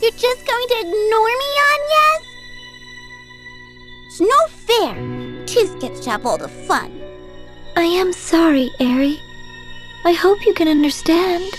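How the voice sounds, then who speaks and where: A woman's voice: extremely high pitch, sing-song, takes it slowly, long pauses, heavily acted. A woman voicing a girlish young heroine in a video game, with an earnest manner. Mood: apologetic. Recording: faint game music behind voice. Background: music.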